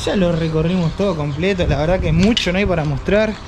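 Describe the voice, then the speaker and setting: A man in his thirties with an Argentine accent, chatting casually as he explores an abandoned roadside restaurant, laughing often and exclaiming with excitement. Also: sits high, fast talker, background noise. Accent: Argentine Spanish. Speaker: urban explorer vlogger. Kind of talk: casual walkthrough chat. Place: outdoors in an abandoned roadside building. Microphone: handheld camera held at arm's length.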